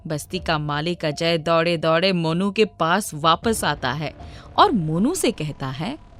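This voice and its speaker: A woman's voice: deep voice, animated, a little slow, faint music behind. A woman reading a scripted children's moral story as its narrator.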